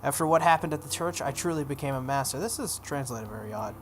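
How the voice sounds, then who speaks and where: A man's voice: medium pitch, animated, fast talker. A man reading a visual novel aloud as narration, stumbling over the text now and then and dropping into casual asides. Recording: noticeable background noise.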